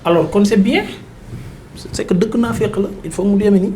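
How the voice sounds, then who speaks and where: A man's voice: high-pitched, moderate pace, darkish tone, roomy sound, a little hiss or hum. A Senegalese man speaking as a political commentator in an emphatic, insistent debating style. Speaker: political commentator on a talk show. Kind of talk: argumentative political debate, mixing Wolof and French.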